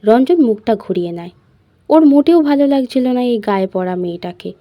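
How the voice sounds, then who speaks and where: A woman's voice: fairly high pitch, animated, brisk, dry recording, lo-fi sound. A woman reading a scripted love story as its narrator.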